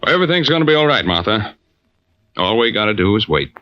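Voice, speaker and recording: Steadfast low-voiced navy commander, old radio drama. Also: male voice, sing-song, fast, over music. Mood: soothing. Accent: American. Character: steadfast, worried navy commander, middle-aged husband and father. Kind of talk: old-time American radio drama acting. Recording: noisy, dull old radio recording.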